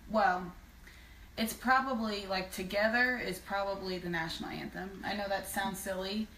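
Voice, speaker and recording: A woman's voice: mid-range voice, fairly even intonation, normal speed, a bit bright, a little hiss or hum. A young woman chatting casually on a live stream from a small room, easygoing and chiming in with short additions. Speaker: singing duo member. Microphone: phone camera at a distance. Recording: echoey room, from a distance.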